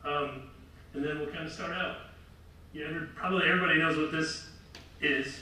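A middle-aged man with a loud voice gives a casual, rambling talk with slides to a seated audience, often correcting himself. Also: a little high, fairly even intonation, normal speed. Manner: rambling, self-correcting, light laughs. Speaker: lecture presenter. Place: large room with seated audience. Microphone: far camera. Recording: echoey hall from far off, faint noise.

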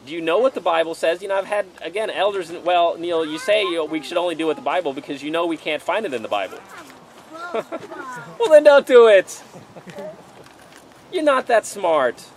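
Man in his 40s, pastor, reads aloud then talks casually, slightly high voice, camera at a distance outdoors, faint background noise. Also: expressive, moderate pace, long pauses. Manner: reads passages aloud then preaches conversationally. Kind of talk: outdoor sermon and Bible study. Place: outdoors under a tent canopy in a yard.

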